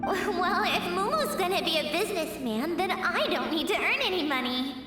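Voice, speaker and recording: Flashback child, an eager little girl, very high and lively, echoing from afar. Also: moderate pace, music in the background. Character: young girl wanting to make lanterns, earnest. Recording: echoey, distant, long reverb.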